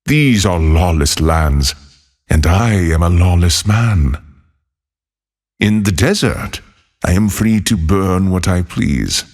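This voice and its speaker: An actor playing a solitary, haunted former monk turned lawless desert wanderer in a video game, speaking in a solemn, measured, husky voice. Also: male voice, sits low, animated, a little mellow, heavily acted. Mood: brooding, solemn. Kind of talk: scripted game character voicelines.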